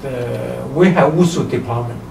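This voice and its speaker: A man's voice: medium pitch, vocal fry. Older Taiwanese-accented man in roomy, distant recording. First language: Chinese. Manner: emphatic, repeats short phrases, halting non-native English. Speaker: kung fu teacher being interviewed. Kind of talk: opinionated interview talk. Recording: roomy, distant mic, faint background noise.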